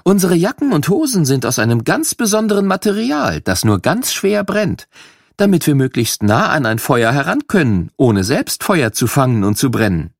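A man's voice: on the high side, sing-song, normal speed, vocal fry, dry recording, studio quality, voice pushed up, full character voice. A man voicing a female firefighter patiently explaining her equipment to children.

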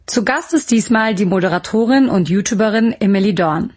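A woman's voice: medium pitch, a little fast, darkish tone, faint music behind. A woman hosting a radio interview show, speaking in a presenter's style with a friendly guest. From Germany. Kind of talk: scripted introduction and friendly interview.

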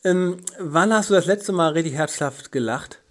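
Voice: mid-range voice, normal speed. A podcast host asking interview questions, hesitant, with many fillers.

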